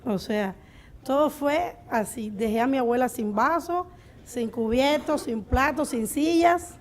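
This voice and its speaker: Female, Cuban accent, higher-than-average voice, small-business owner answering unscripted at a live panel. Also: a little slow. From Cuba. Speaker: restaurant owner on a panel of entrepreneurs.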